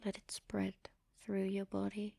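A woman speaking in an intimate, commanding and teasing erotic ASMR style, in a breathy whisper close to the mic. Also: medium pitch, completely flat delivery, measured pace, dark tone, dry recording. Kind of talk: erotic ASMR, guided audio roleplay.

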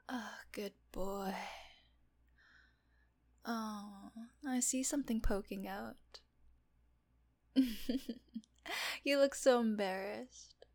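A woman voicing a teasing, doting female nurse in an intimate audio roleplay, talking softly and often in a whisper. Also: mid-range voice, very slow, long pauses. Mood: teasing, coaxing.

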